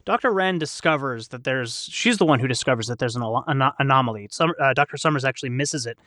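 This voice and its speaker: A man's voice: mid-range voice, expressive, quick pace, sharp tone, no echo, studio quality. A man in a casual panel discussion, thinking aloud as he analyzes and explains. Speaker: cast member in a behind-the-scenes discussion.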